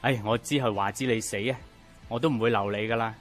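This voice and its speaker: A man acting a grasping, abusive old father in a radio drama, with a Hong Kong Cantonese accent and a halting, coarse delivery. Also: mid-range voice, fairly even intonation, close to an everyday voice. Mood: bullying, demanding. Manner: halting, stammering, groaning, coarse. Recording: music behind the voice.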